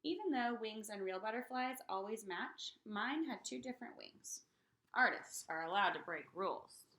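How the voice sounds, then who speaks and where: A woman reading a children's picture book aloud in an expressive, dramatic way for young listeners. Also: mid-range voice, moderate pace. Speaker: read-aloud storyteller.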